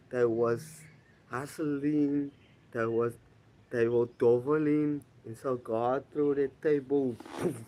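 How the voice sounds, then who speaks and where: Man in his thirties, a guest in an interview. He talks slowly in short, plain sentences. Steady noise and clicks sit behind his voice. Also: mid-range voice, poor audio. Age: 30s. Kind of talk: casual testimony interview.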